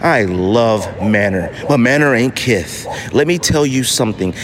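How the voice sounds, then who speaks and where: A middle-aged American man hosting a casual solo podcast, telling stories in an animated, slangy, emphatic way. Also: mid-range voice, measured pace, a crowd in the background. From United States. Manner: animated, slangy storytelling with mock voices for others; emphatic, with repetition for effect. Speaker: podcast host.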